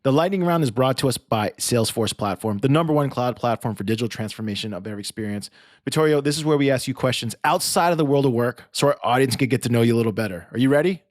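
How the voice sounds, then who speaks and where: A man's voice: mid-range voice, lively intonation, fast, no room sound, studio quality. A man hosting a podcast interview in a casual, chatty, effusive and informal way. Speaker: podcast host.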